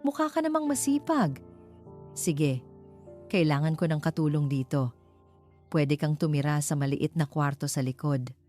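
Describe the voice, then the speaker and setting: A woman with a Filipino accent voicing a brisk, kindly elderly woman who runs a small eatery in a story. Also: deep voice, lively intonation, warm tone. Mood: brisk, accepting. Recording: music behind the voice.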